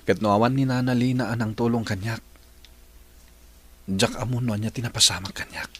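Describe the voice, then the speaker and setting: Lalaking gumaganap na tapat na binata. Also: male voice, mid-range voice, fairly even intonation, normal speed, breathy edge, natural voice. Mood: earnest. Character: grown young man, earnest and grateful. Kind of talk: radio drama acting.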